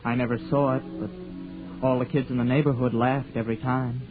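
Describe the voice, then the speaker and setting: A man playing a shy, halting young man. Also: medium pitch, little pitch movement, over loud music, close to an everyday voice. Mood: wistful. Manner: halting, stammering, soft-spoken. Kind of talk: 1940s radio drama with narration. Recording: tinny 1940s radio broadcast.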